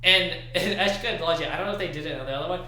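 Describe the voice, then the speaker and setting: Excitable guy on a podcast, slangy, slightly high bright voice, echoey room. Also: very fast. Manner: excitable, often laughing through words. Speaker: podcast co-host. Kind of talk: casual chat, slangy. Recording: roomy, echoey sound.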